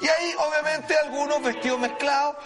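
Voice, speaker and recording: A man with a Chilean accent presenting and commenting excitedly as a TV show host, emphatic, dramatic and repetitive. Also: very high voice, brisk. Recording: loud music bed under voice.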